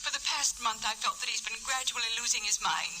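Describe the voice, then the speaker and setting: Frantic rich wife, high and very sharp, old radio melodrama. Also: female voice, quick pace, faint music behind, poor audio. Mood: desperate, pleading. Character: desperate, pleading wealthy wife. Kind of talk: 1940s radio melodrama acting.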